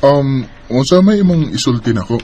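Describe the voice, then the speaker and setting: A man's voice: mid-range voice, animated, moderate pace, lightly acted. Man acting a startled young boyfriend, noisy recording. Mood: startled, defensive. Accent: Filipino, Visayan. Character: devoted young boyfriend, easily startled and indignant. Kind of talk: radio drama acting.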